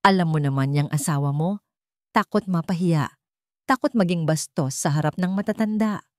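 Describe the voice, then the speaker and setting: A woman's voice: on the low side, moderate pace, darkish tone, no room sound, natural voice. Scheming older matriarch, played by a woman narrator, audiobook melodrama: commanding, contemptuous, emphatic, dry close sound. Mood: calculating.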